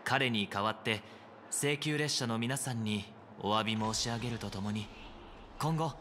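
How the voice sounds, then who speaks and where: A man's voice: lowish voice, moderate pace, fairly bright tone, slight background noise, lightly acted. An actor playing a composed, courteous male hotel host in a Japanese video game, formal and very polite.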